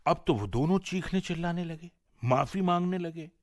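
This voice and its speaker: A man's voice: a little high, normal speed, no echo, studio quality. A man with an Indian accent narrating a folk tale expressively as a radio storyteller, in a dramatic scripted style. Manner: dramatic, animated storytelling delivery. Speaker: storyteller and presenter on a radio show.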